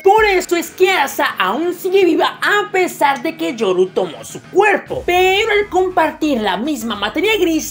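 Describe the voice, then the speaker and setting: Lively high-voiced woman reviewing manga. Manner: irreverent, crude jokes and slang. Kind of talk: scripted comedic manga review voice-over. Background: faint background music.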